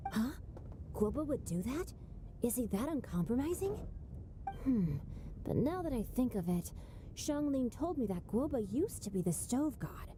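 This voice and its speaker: Lively, lightly high teenage boy. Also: moderate pace, background noise. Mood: feigned innocence. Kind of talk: video game voice acting. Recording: music behind the voice.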